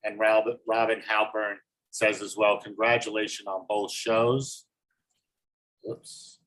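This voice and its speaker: A man hosting an online artist event on a video call, reading out chat comments in a steady, level way. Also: on the low side, slow pace, low-quality recording. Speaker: host.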